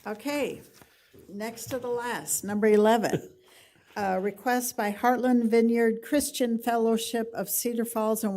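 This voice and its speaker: A woman's voice: mid-range voice, a little mellow. Woman chairing a city board meeting. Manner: brisk, procedural. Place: council meeting room.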